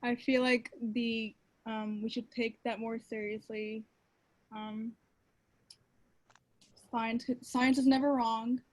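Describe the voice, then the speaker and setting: A woman in her twenties on a video call, speaking hesitantly with pauses. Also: a little high, little pitch movement, rough recording. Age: 20s. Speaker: focus group participant. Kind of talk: casual discussion.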